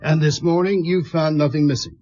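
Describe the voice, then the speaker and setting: An actor playing a patient police inspector in a radio drama, with measured, polite, probing questions. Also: male voice, a little high, steady tone, dark tone, muffled recording, close to an everyday voice.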